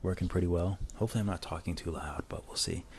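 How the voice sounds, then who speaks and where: A man narrating a drawing tutorial as a casual voice-over, talking in a relaxed, rambling way with many restarts, recorded on a lavalier mic plugged into a phone. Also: fast, a little hiss or hum. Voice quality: low voice.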